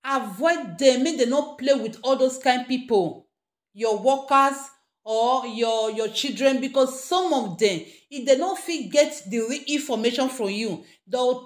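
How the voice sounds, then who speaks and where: A woman with a Nigerian accent giving informal gossip commentary, addressing her listeners directly and emphatically. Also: on the high side. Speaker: gossip vlogger. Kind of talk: informal news commentary in Pidgin. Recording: roomy, echoing room sound.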